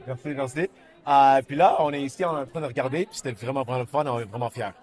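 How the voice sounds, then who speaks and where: Male actor, Canadian French accent, mid-pitched voice, informal interview talk with English words mixed in and repetitions. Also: quick pace, darkish tone. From Canada, born in Montreal and grew up in Winnipeg. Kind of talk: casual interview.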